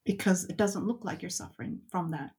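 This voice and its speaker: A woman's voice: lowish voice, brisk, darkish tone. A woman guest on a podcast, telling a personal story in a reflective, hesitant way, with fillers and restarts. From Canada.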